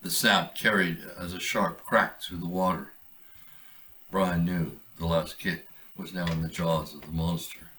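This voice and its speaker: A man reads his own short story aloud in a measured, deliberate way. His voice sits on the low side. He sounds a little distant from the mic. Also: steady tone, pushed and bright. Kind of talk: storybook reading.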